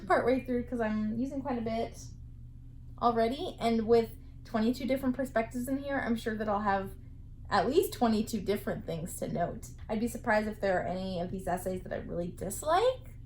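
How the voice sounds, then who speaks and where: A woman's voice: on the high side, darkish tone, a little hiss or hum, poor audio. A woman in her twenties, chatting casually about a knitting book while sitting up in bed, with a lot of "like" fillers. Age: 20s. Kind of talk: casual reading vlog.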